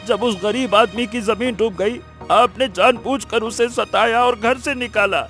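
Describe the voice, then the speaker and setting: A very high, bright voice of a timid cartoon henchman, servile and pleading. He stammers nervously over background music. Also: steady tone, measured pace, heavily acted. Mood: desperate, confessing. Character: frightened, servile henchman of a village strongman. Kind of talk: Hindi cartoon moral-story voice acting.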